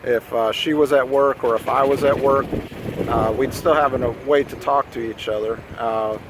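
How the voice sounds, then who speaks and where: A man's voice: medium pitch, fairly even intonation, moderate pace. A man speaking informally to the camera as a vlogger and amateur radio enthusiast. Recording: outdoor recording with clear ambient noise.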